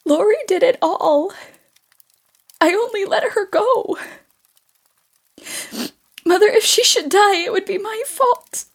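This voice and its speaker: A woman's voice: very high-pitched, slow pace, long pauses, full character voice. A woman playing a passionate, self-reproaching teenage daughter in an audio play, with an intense, confessional delivery. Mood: guilty, distressed.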